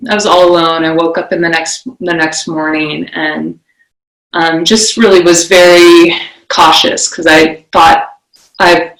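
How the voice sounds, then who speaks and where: Low flat-voiced woman in an echoey room. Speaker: interviewee. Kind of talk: personal storytelling. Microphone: video call. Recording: echoey room on a video call.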